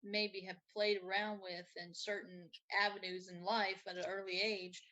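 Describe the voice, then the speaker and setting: Woman co-host with halting phrasing. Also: mid-range voice, flat delivery, bright, forceful tone, poor audio. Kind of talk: casual chat.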